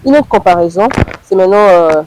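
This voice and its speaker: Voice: mid-range voice, animated, a little fast, a little mellow, slight background noise, rough recording. A sports show interviewer asking questions plainly, with small hesitations.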